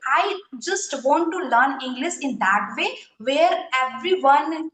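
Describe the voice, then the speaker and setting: A woman's voice: high-pitched, animated, takes it slowly, a bit bright, roomy sound, faint music behind, low-quality recording. A woman with an Indian accent, speaking hesitantly as an English learner in a casual conversation, with many fillers and restarts. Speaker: English learner being interviewed.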